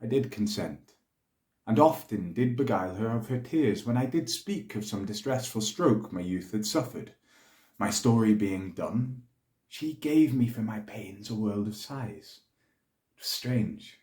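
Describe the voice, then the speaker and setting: A man acting as a proud soldier pleading his case in Shakespearean verse. The voice is low-pitched and the delivery is measured and rhetorical, with formal eloquence. It rings in a very echoey room. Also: lively intonation, a little mellow. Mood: reminiscing, absorbed. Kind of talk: Shakespearean dramatic monologue.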